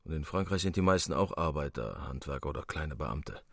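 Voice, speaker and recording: Deep-voiced seasoned soldier, calm and sensible. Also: male voice, vocal fry, dry recording, clean studio sound, heavily acted. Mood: reasoning. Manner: measured, thoughtful, matter-of-fact.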